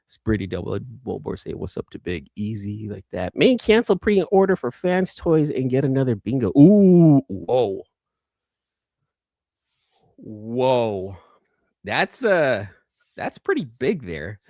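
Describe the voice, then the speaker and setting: A man's voice: mid-range voice, big pitch swings, slow pace, mellow, no echo, studio quality. A man hosting a casual livestream, chatting with viewers in a rambling, conversational way and often repeating his phrases. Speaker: livestream host and toy collector. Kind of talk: casual live chat, reading viewer comments.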